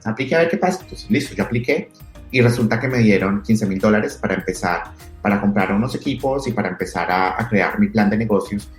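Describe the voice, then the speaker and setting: A man in his thirties with a Colombian accent, an entrepreneur telling his story in a relaxed interview with frequent restarts. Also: on the low side. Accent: Colombian Spanish. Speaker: entrepreneur guest. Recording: echoey room with faint music.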